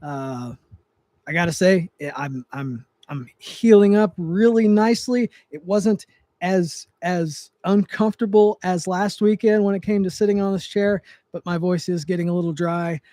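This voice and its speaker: High-voiced man rambling on a livestream. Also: normal speed, warm tone, no room sound, clean studio sound. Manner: rambling, with frequent restarts and fillers. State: voice getting dry. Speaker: livestream host, trigger tuner. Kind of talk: casual livestream chat. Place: his home, seated in a chair.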